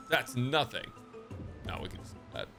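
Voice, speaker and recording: A man's voice: medium pitch, huge pitch swings, unhurried, creaky, pushed and bright, soft background music. A man livestreaming a game reaction, talking casually to his chat in a rambling, sweary, slangy way.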